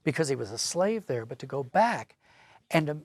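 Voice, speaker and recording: A man's voice: medium pitch, big pitch swings, moderate pace, no echo. A man giving thoughtful, explanatory answers as a conversational interview guest. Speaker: interview guest, audio drama writer.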